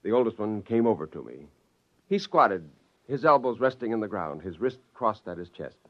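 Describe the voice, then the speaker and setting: Animated, excitable scientist father. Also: fairly low pitch, moderate pace. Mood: gleeful narrating. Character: excitable, gleeful scientist father narrating his secret creation. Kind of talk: 1950s radio drama narration and acting. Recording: dull lo-fi old radio, music underneath.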